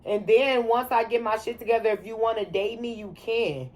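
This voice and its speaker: A woman's voice: on the high side, normal speed, darkish tone. A woman in her twenties talking casually and bluntly as the host, swearing freely and using slang. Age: 20s. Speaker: channel host. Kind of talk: casual chat.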